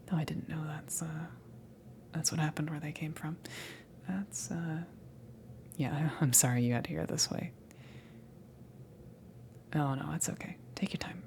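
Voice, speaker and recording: A woman playing a casual, kind alien salvage crewmember in a hesitant, reassuring ASMR roleplay, whispery and breathy. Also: low-pitched, a little hiss or hum. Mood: explaining, bemused, then gentle, apologetic. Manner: hesitant, rambling, reassuring, soft chuckles. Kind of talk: ASMR roleplay, improvised-sounding soft talk.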